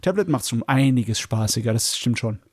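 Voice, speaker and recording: A man's voice: medium pitch, lively intonation, moderate pace, a little mellow, breathy edge, no room sound, studio quality. A man, chatting casually and thinking aloud as a podcast co-host. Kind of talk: casual chat.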